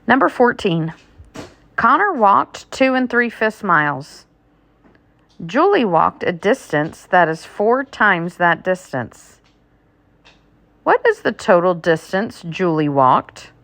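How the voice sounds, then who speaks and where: Very slow-reading woman, dry close sound. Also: mid-range voice, sing-song, a bit bright, no room sound. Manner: deliberate, item-by-item enunciation. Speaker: teacher reading a test aloud. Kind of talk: read-aloud of math test questions.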